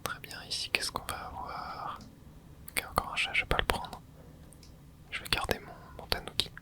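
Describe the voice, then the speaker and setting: A man in his twenties, whispering softly as he comments on his gameplay for viewers. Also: normal speed. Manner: soft whispering, with excited asides to viewers. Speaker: gaming ASMR creator.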